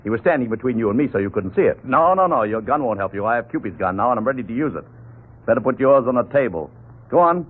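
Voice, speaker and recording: An actor playing a confident, wry amateur sleuth in a 1940s radio mystery, clipped and commanding. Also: male voice, medium pitch, lively intonation, fast talker, slightly rough. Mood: brisk, commanding. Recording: tinny, scratchy 1940s radio recording.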